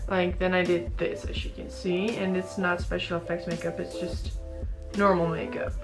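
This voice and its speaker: A young woman, chatting casually to camera in her bedroom, a little sleepy and raspy, rambling with many fillers and restarts. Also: fairly low pitch, little pitch movement, normal speed, over music. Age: young adult. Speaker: beauty vlogger. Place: small bedroom indoors. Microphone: camera in front at close range.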